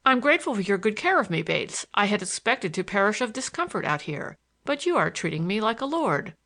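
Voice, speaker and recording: Woman reading as a courteous young gentleman. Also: mid-range voice, sing-song, a little fast. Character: polite young gentleman, master of the house.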